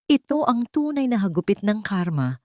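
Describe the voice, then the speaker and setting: A woman's voice: mid-range voice, very animated, normal speed, dark tone, dry recording, studio quality. A woman with a Filipino accent reading a scripted story as a dramatic audiobook narration.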